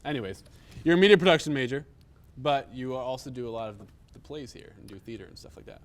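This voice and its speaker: A man's voice: medium pitch, expressive, quick pace. A young man hosting a college talk show, upbeat and chatty, laughing often. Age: young adult. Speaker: host. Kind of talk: casual interview. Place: college studio set.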